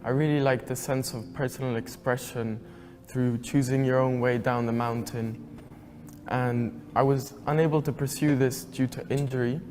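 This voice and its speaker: A young man from Sweden speaking earnestly as a student in a recorded testimonial. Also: mid-range voice. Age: young adult. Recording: long reverb with loud music behind. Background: music.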